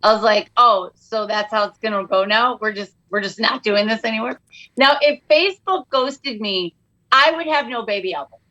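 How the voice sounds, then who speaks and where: Middle-aged female comedian, high and very bright voice, casual podcast chat, acts out quoted exchanges. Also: moderate pace, dull audio. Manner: animated storyteller who acts out quoted dialogue. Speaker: podcast guest, comedian and author.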